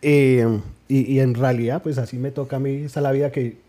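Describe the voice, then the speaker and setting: A man's voice: mid-range voice, level tone, a little fast, warm tone. A man from New Jersey, a guest on a podcast, telling stories in casual talk and laughing often. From New Jersey, United States.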